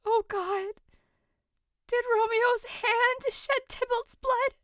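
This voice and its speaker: A woman's voice: very high-pitched, steady tone, slow pace, a bit bright, no room sound, studio quality, full character voice. A woman playing a passionate, desperate young newlywed noblewoman in an audio drama, declaiming theatrically. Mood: outraged, torn. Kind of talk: audio drama acting of modernized Shakespeare.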